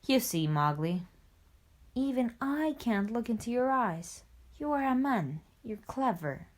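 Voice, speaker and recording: A calm, wise male panther from a storybook, voiced by a woman reading aloud. He talks low and steady, handing out patient advice in an unhurried way. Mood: advising.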